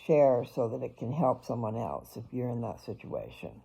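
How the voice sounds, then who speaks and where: Gravelly, husky older woman vlogging. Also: a bass voice, very animated, normal speed, dark tone. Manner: rambling, chatty, talks straight to viewers. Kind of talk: casual personal vlog.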